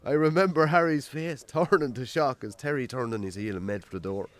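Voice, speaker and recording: An actor playing a wry, self-deprecating rural Irish farmer in a radio drama, telling stories colloquially. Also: male voice, medium pitch, brisk, dry recording, lightly acted. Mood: amused, wry. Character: Jamesy, rural bachelor farmer, self-deprecating storyteller on a date. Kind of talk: naturalistic radio drama acting.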